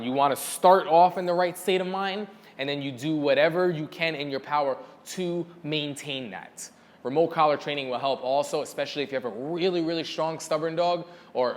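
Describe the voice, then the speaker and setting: A man's voice: fairly high pitch, fairly quick, in a large hall. A man who trains dogs, answering viewer questions in a casual teaching style and repeating words for emphasis. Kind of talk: casual instructional Q&A.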